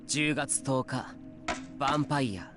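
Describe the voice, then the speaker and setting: A male actor playing an earnest, insistent teenage schoolboy sleuth in a Japanese visual novel, speaking deliberately. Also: medium pitch, music in the background, natural voice. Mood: grave.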